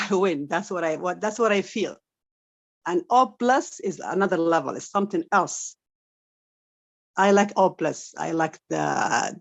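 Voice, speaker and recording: Woman, native Arabic speaker, lower register, halting repetitive English, giving a personal testimonial over a dull online call. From Somali family, grew up in Saudi Arabia. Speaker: guest member giving a testimonial. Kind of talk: unscripted testimonial. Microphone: video call.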